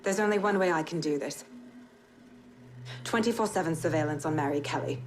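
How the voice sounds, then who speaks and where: Video game role for an actress: hardened former female inspector, very low register, blunt, determined, wry. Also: a little fast, natural voice. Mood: grim, determined. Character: world-weary former police inspector, tough, sweary, determined woman. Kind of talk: video game cutscene acting. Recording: noisy ambient background.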